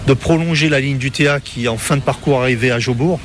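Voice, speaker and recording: A man's voice: mid-range voice, fast. A middle-aged man with a Parisian French accent, chatting informally as an interview guest and telling casual anecdotes. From Paris, France. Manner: informal, often ends phrases with "quoi".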